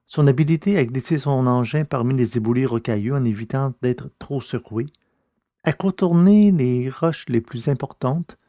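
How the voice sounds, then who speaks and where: A man narrating a scripted adventure story in a measured, literary way. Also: mid-range voice, mellow, no room sound.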